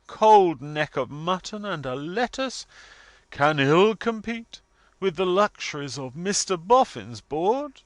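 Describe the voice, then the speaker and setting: A man's voice: high voice, lively intonation, takes it slowly, no room sound, voice pushed up. A man voicing a pompous, gloomy middle-aged mother in a storybook, declaiming with solemn, stately majesty. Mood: solemn reproach.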